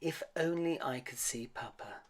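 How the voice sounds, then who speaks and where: A man's voice: medium pitch, measured pace, lightly acted. A man voicing a kind, determined young woman in a storybook, with an earnest, emotional manner. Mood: wistful.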